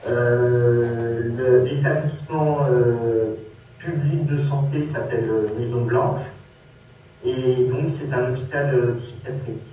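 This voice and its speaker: A man with a Parisian French accent introduces himself to a group in a hesitant, halting and apologetic way. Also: medium pitch, fairly even intonation, warm tone. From Paris, France. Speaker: workshop speaker, peer research assistant. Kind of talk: personal testimony to a group. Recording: echoey, distant conference-call sound with noise.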